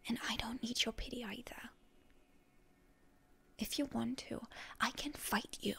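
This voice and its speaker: Casting: woman as wounded tsundere heroine for ASMR roleplay, guarded and defiant, slow whispery delivery with a crackly fry. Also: on the high side, exaggerated voice. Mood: prickly, stubborn. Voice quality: breathy, hushed, mostly whispered. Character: proud, guarded, prickly wounded hero girl held by her enemy.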